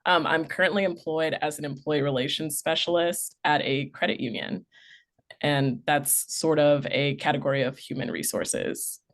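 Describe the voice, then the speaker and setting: A woman's voice: deep voice, normal speed. A woman in her twenties, an HR specialist on a panel, speaking steadily and articulately with few fillers. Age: 20s.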